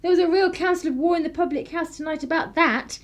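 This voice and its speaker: A woman's voice: extremely high pitch, fast talker. A young woman with an upper-class English accent playing a spirited, sardonic 1930s vicar's daughter in a radio play, with arch, clipped period phrasing. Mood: breezy, teasing. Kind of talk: period radio play acting, narration and dialogue.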